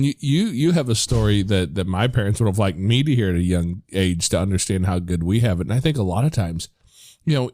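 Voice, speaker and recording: Laid-back male podcast host, lowish voice, dry close-miked sound, loose and meandering. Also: dry recording. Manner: relaxed, rambling, self-correcting. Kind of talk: casual chat.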